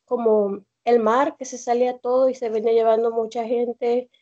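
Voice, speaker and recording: A young woman with a Mexican accent calling in on a video call from home, telling her story haltingly with many fillers and restarts. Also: fairly high pitch, level tone, mellow. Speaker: caller. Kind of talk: hesitant personal testimony on a video call. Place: home room indoors. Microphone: laptop or phone on a video call. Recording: dull lo-fi video call audio.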